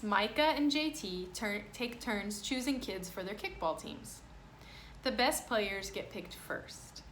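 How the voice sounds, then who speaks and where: A woman's voice: medium pitch, a bit bright. A woman reading a picture book aloud to children and explaining it in simple asides. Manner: plain, explanatory, child-directed phrasing. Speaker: read-aloud storyteller, teacher addressing children. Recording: clear background noise.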